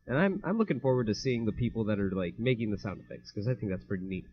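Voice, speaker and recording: A man's voice: lowish voice, expressive, fast. Young man, podcast co-host, rambling casual chat, filler words, muffled lo-fi mic. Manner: rambling, laughs easily, many fillers. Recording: dull lo-fi home mic.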